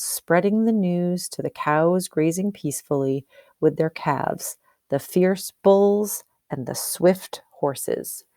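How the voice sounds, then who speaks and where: Animated woman teacher reading a story aloud. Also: on the low side, no room sound, clean studio sound. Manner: explanatory, questioning, checks understanding. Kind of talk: expressive storybook read-aloud with teaching questions.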